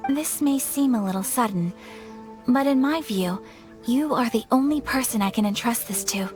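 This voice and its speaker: A woman playing a refined, gentle young noblewoman in a video game, speaking formally and courteously in a measured way. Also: a little high, lively intonation, natural voice. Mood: polite, composed. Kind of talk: animated video game voice acting. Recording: game music behind the voice.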